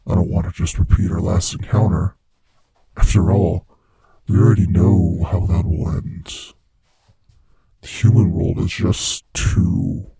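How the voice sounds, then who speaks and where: A man playing a sadistic, playfully menacing monster from under the bed in a horror ASMR roleplay, with a breathy, raspy voice that often sinks into whispers as he taunts the listener. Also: low voice, no room sound, exaggerated voice. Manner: slow, taunting, savoring, hushed menace.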